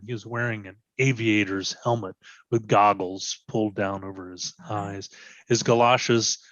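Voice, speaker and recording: Low-voiced man reading stage directions, dry sound. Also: a bit bright, no echo, dull audio. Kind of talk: scripted narration, readers theatre.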